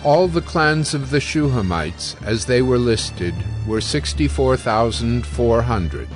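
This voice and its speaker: A man narrating scripture from a script in a solemn, formal and measured way. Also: mid-range voice, lively intonation, music in the background.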